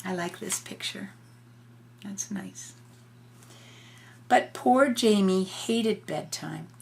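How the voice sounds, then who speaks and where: An older woman, a grandmother, reading a picture book aloud to a child in a gentle, explanatory way with informal asides. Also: mid-range voice, slow, gravelly.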